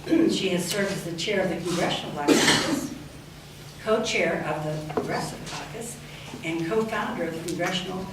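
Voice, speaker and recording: A woman's voice: lowish voice, normal speed. A woman introducing a speaker at an event, reading with frequent "uh"s. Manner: reads with frequent fillers. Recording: echoey room, distant mic, loud noise.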